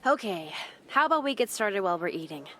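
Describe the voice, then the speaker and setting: A woman's voice: mid-range voice, very animated, quick pace, pushed and bright, slight background noise. A woman voicing a friendly, mischievous schoolgirl in a visual novel, with a casual, coaxing way of talking. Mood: helpful. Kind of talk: visual novel dub acting.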